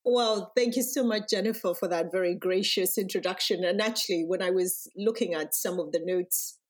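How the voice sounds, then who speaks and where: A woman from Uganda with a Ugandan accent, speaking as a podcast guest in a reflective, measured way. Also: on the low side. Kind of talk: podcast interview.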